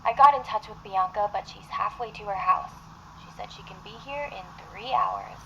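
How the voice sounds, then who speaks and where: A woman's voice: mid-range voice, wildly swooping pitch, moderate pace, fairly bright tone. A woman acting in an audio roleplay as a blunt, exasperated office assistant to a demanding boss. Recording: dull muffled sound, faint noise.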